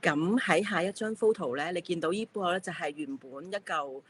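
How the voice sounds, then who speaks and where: A woman artist from Hong Kong presenting her work in an online talk, soft and a little hesitant. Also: lowish voice, fairly quick, slight background noise. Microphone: video call.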